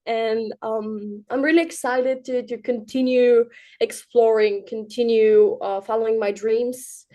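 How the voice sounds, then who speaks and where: A woman's voice: a little high, fairly even intonation, slow, warm tone. A woman in her twenties from Bulgaria, answering as a guest in a casual interview, chatty with many ums and light laughs. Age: 20s.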